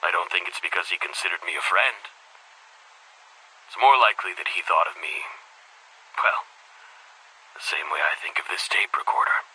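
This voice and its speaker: A brooding older headmaster recording a game audio log. His voice is low, with a heavy breathy rasp and a very sharp, tense edge. He tells things deliberately, weary and cynical, like someone confiding in a tape recorder. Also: full character voice, poor audio. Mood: grave, reflective. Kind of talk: dramatic monologue, video game audio log.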